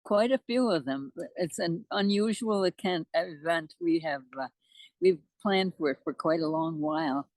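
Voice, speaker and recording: A woman in her nineties from the United States chatting casually as an interview guest, halting and good-humored. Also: on the low side, a little mellow, dry recording, rough recording. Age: 90s. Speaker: interview guest, amateur postcard poet. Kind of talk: casual chat.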